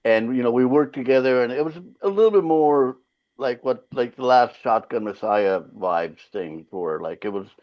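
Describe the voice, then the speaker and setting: A Finnish-born singer whose first language is Finland Swedish, telling stories in a loose, profane way as an interview guest. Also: male voice, mid-range voice, expressive, normal speed. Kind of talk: casual interview chat. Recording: slightly dull lo-fi sound.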